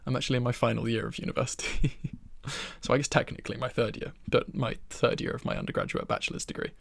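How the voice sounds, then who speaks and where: A soft-spoken young man of twenty-one chatting ASMR-style from his bedroom, rambling and poking fun at himself. His voice is heavy with fry and breathy, sitting mid-range for a man. It is recorded close and bone dry. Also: medium pitch, fairly even intonation, fast talker, dry recording. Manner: rambling, self-deprecating, with soft laughs. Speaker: ASMR creator.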